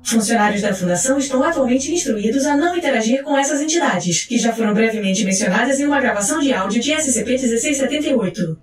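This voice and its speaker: High-pitched woman narrator, echoey, over music. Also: expressive. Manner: formal, clinical, measured reading. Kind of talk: scripted documentary-like narration of fictional case files. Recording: roomy echo with music underneath.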